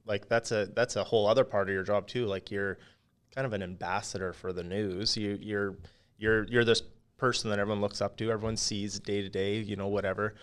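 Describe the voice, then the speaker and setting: A man hosting a podcast, asking questions in a halting, casual way with many fillers. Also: fairly low pitch, fairly even intonation, smooth. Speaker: podcast host. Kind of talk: casual interview chat.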